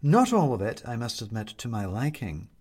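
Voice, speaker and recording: A man's voice: mid-range voice, sing-song, normal speed, darkish tone, husky. Man voicing a mournful, prim young ghost woman. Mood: serious. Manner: mournful, formal, humourless.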